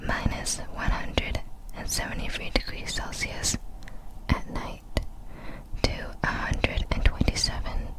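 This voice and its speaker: Slow, whispering woman doing ASMR. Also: poor audio. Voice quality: breathy unvoiced whisper. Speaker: ASMR creator. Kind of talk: whispered ASMR narration of facts.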